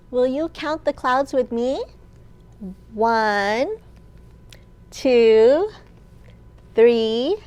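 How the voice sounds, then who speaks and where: High-pitched woman reading slowly to children. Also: darkish tone. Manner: sing-song, asks questions and pauses for child listeners. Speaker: children's librarian and storytime host. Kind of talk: expressive read-aloud of a picture book to young children.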